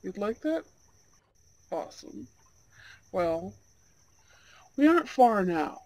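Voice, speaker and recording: Performer playing a young Goth gentleman, soft-spoken, unhurried, courteous, charming, slightly old-fashioned wording, ASMR blind-date roleplay. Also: medium pitch, very animated, no echo, rough recording. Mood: admiring, inviting. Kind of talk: ASMR roleplay, speaking to an unheard listener.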